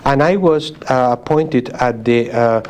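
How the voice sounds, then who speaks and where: A man in his sixties from Spain answers questions in English with a Spanish accent. He talks in a thoughtful, measured way, stopping to restart phrases and reach for words. His pitch sits in an ordinary middle range. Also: a little mellow. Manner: thoughtful, with frequent pauses and restarts. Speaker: guest, sociologist. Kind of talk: interview conversation.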